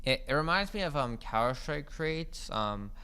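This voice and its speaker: Man co-host with a smooth, clear voice, halting casual delivery full of restarts. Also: on the high side, lively intonation, bright, forceful tone. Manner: hesitant, halting, frequent restarts and ums. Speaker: podcast co-host. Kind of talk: casual gaming chat.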